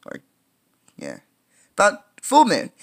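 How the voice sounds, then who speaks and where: A woman's voice: medium pitch, huge pitch swings. A woman reading a children's science book aloud like a teacher, explaining things as she goes. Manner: explanatory, pausing to comment and ask questions.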